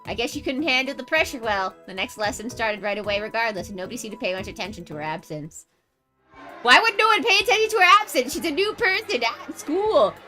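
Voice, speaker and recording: A woman livestreamer reading game narration aloud with casual, animated, jokey commentary. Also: a little high, fast, soft background music. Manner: animated, reactive, jokey exclamations.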